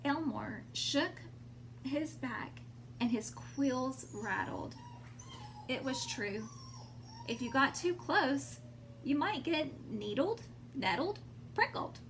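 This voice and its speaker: A woman reading a storybook aloud expressively to young children, pausing to explain things simply. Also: sits high, animated, a bit bright, low-quality recording. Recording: clear background noise.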